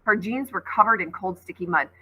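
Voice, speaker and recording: A woman teacher reading a novel aloud to her class, in an expressive storytelling manner. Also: a little fast, a little hiss or hum, low-quality recording. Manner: expressive read-aloud, voices rising high on called names.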